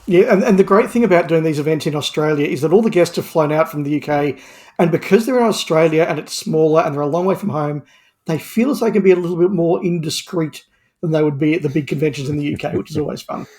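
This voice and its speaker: Higher-voiced man in casual podcast chat. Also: fast talker, darkish tone. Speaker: podcast guest.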